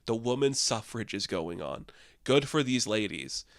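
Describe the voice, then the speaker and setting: A man's voice: lowish voice, very animated, measured pace, no echo, studio quality. A young man giving a casual, rambling film review, sarcastic and exasperated, with frequent filler words.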